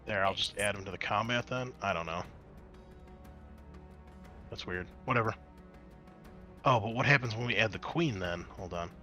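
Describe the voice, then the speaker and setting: A man's voice: fairly low pitch, normal speed, pauses often. A man acting as game master of a tabletop roleplaying game, talking casually and muttering asides as he works the game. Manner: casual swearing, muttering asides while working the game software. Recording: music behind the voice. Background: music.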